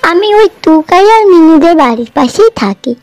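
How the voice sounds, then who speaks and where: A woman's voice: very high voice, big pitch swings, moderate pace, a little mellow, no room sound, heavily acted. A woman voicing an eager, hopeful poor little village girl in a cartoon. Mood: earnest. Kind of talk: cartoon voice acting.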